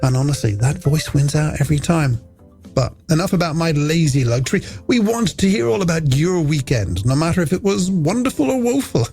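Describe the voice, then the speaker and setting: A man hosts a late-night radio show over a music bed. His voice sits a little high and swings widely in pitch, with a gravelly creak at the edges. He delivers chatty, playful patter like a scripted link between songs. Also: a little mellow. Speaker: radio presenter.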